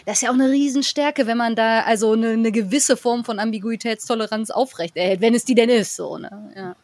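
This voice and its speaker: A woman's voice: fairly high pitch, brisk, a little mellow, dry recording. Woman podcast host, thinks aloud, dry close sound. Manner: thinks aloud, searching phrasing. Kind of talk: casual podcast conversation.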